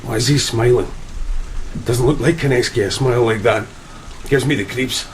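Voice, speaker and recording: A man's voice: mid-range voice, normal speed, lightly acted, rough recording. A man playing a frightened, superstitious sailor, haunted and insistent, in a gothic horror audio drama. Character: frightened superstitious sailor, shaken by a devilish stranger. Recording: rain and ship ambience noise.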